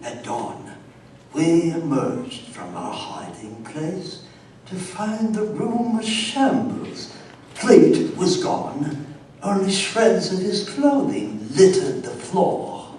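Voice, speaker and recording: A haunted colonial storyteller, played by a man, high-voiced and slow, narrates grim horror with theatrical weight. It is live radio theater, heard from a distance in a very echoey space. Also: sing-song, darkish tone, a little hiss or hum, full character voice. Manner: grave, theatrical, deliberate storytelling. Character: haunted colonial Englishman recounting a horror tale. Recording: very echoey stage, distant mic, music behind.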